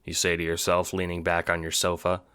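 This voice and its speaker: A man's voice: deep voice, monotone, brisk, bright, forceful tone, clean tone, no echo, clean studio sound. A man reading scripted second-person narration as the narrator of an audio drama.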